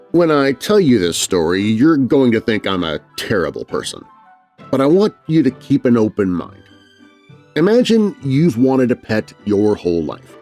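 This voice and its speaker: Male narrator for kids' spooky fiction: confiding, chatty, highly expressive, light music underneath. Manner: conversational, confiding, playing a young storyteller. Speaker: audiobook narrator. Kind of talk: animated first-person children's story narration.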